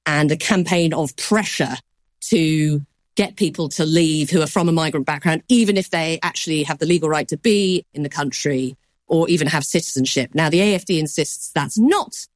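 A woman news correspondent giving a measured explanation on a news program, softening points with qualifiers. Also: low-pitched, no room sound.

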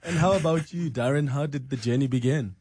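A man's voice: mid-range voice, brisk, darkish tone, breathy edge, no room sound. A man hosting a morning studio interview, asking questions in a friendly, encouraging way. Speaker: radio host. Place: radio studio.

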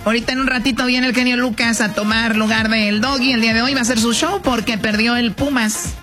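Music runs clearly behind a woman. She speaks as a radio co-host, trading casual on-air banter. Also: fairly high pitch, steady tone, fairly quick.

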